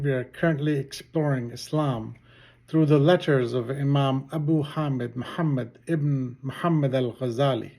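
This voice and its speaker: A man whose first language is Urdu, hosting his channel and giving a measured, formal reading of a religious text. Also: mid-range voice, darkish tone. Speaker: channel host.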